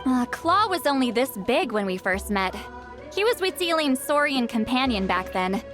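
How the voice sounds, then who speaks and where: An ancient shaman lady in a video game, curt and impatient, highish voice, soft music behind. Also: sing-song, moderate pace. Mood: wry, reminiscing. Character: ancient female shaman, youthful-sounding, brusque, grumpy, world-weary.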